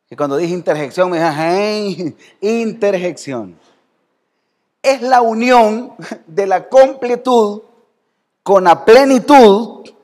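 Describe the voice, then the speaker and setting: A Salvadoran pastor preaching to his church in a high voice. He talks conversationally and quizzes listeners by name. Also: takes it slowly, long pauses, a little mellow. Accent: Salvadoran Spanish. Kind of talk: sermon. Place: church hall.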